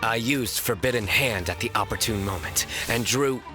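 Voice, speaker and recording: A man voicing a stern, solemn young law enforcer with a deadpan delivery in an English anime game dub. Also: fairly low pitch, moderate pace, music in the background, lightly acted.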